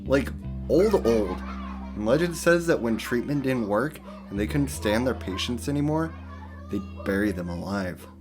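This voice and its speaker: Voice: medium pitch, expressive, unhurried, music in the background, close to an everyday voice. An actor playing an eager, earnest teenage boy ghost hunter in a horror story, insistent and matter-of-fact. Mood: ominous, earnest.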